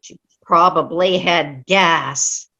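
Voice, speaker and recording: A woman's voice: on the low side, takes it slowly, bright, forceful tone, exaggerated voice. A woman playing a cranky, stubborn elderly widow in an amateur comedy play reading, grumbling bluntly and dismissively. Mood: scornful. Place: online video-call play reading.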